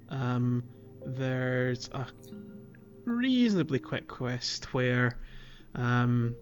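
Rambling man on a gaming podcast. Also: mid-range voice. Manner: rambling, hesitant with many fillers. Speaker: podcast co-host. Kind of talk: casual gaming podcast chat.

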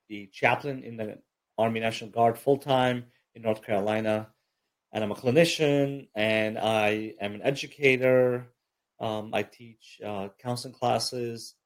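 A low-pitched man from Egypt talks about his life in a relaxed interview. He speaks casually with plenty of ums and a humble, chatty way. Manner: relaxed, chatty, self-deprecating with fillers. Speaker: army chaplain and counselor.

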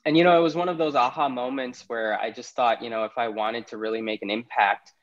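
A man's voice: medium pitch, fairly even intonation, brisk, a bit bright, muffled recording. A man from Pakistan, chatting easily as a podcast guest, laughing often between thoughts. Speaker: podcast guest, startup CEO. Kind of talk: casual interview conversation.

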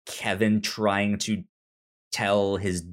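A man's voice: on the low side, little pitch movement, extremely slow. A man co-hosting a podcast, chatting casually about a TV drama, his speech broken by stuttered, repeated sounds. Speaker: podcast co-host.

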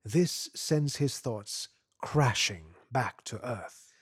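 A man's voice: mid-range voice, expressive, extremely slow, slightly rough, no echo, studio quality. A man narrating a piece of short fiction aloud in a scripted, literary reading style.

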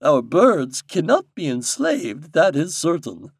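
A man's voice: fairly high pitch, huge pitch swings, takes it slowly, slightly rough, dry recording, studio quality, voice pushed up, exaggerated voice. A man voicing a wise, stern fairy king who instructs with authority in a storybook. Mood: stern, commanding.

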